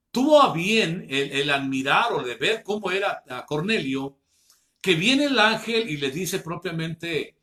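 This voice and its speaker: A man, preaching an online Bible class, earnestly calling his listeners brothers and slowing down to read scripture. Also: high voice, fairly bright tone. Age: 50s.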